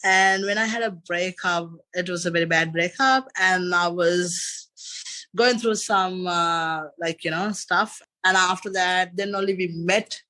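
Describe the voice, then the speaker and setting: A man in his thirties with a Sri Lankan accent talks in a bright, clear tone. He rambles loosely through his story as a podcast guest, looping back with filler phrases. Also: high voice, fairly even intonation, unhurried. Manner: rambling, repeats "and after that" and "you know". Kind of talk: casual interview chat.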